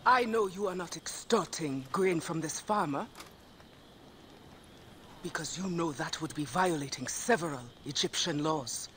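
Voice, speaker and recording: An actress playing a stern, principled ancient Egyptian official who urges others to act, in a dramatic game cutscene. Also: female voice, sits low, a little slow, a bit bright, a little hiss or hum. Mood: stern, challenging.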